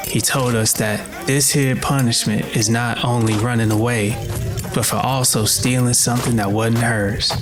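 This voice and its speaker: A man's voice: mid-range voice, natural voice. An actor playing a sorrowful, reflective enslaved son narrating his own story with a Southern US accent. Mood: grieving. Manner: solemn, measured storytelling. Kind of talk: first-person dramatic narration.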